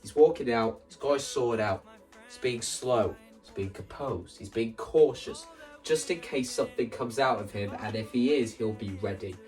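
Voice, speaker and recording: A man's voice: mid-range voice, normal speed, a little mellow, far from the mic, soft background music. A man talking casually in an enthusiastic, rambling way, often interrupting himself as he explains his ideas. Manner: enthusiastic, rambling, self-interrupting, acts out quoted lines. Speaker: video creator. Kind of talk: casual explanatory vlog about staging a musical.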